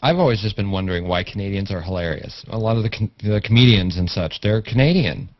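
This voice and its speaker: A man's voice: fairly low pitch, fast. A man with an American accent joking casually in a group video call. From California, United States. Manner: joking, bantering. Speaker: hangout guest. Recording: dull, lo-fi video-call audio.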